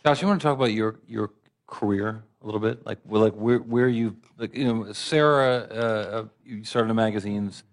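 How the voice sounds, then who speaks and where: A man's voice: lowish voice, normal speed. A man moderating a panel discussion with a wry, joking manner.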